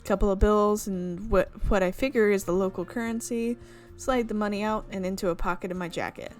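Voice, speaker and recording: A woman's voice: medium pitch, steady tone, brisk, soft background music. Chatty young woman reading a visual novel. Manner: chatty, amused asides, laughs at the script. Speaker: visual novel let's player. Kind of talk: reading a game aloud with casual commentary.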